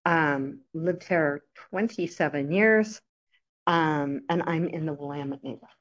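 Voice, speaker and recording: A woman co-chairing a board meeting, chatty and quick to laugh. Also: sits low. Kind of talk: meeting chat. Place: meeting room. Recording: dull lo-fi meeting recording.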